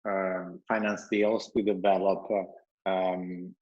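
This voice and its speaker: A man with an Italian accent talks in a low, even voice with little rise and fall, pausing on "um" and restarting his sentences. The sound is dull and lo-fi, like video call audio from a bright room. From Italy. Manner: measured, with frequent restarts and "um". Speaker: guest, company founder. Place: bright room at home with a window.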